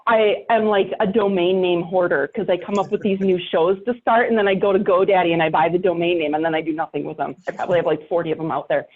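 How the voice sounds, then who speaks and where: A woman's voice: on the low side, steady tone, fast talker, few pauses. A woman podcaster chatting casually and emphatically, repeating herself to drive points home. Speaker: podcast host and writer. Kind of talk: casual chat. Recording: thin, phone-like call audio.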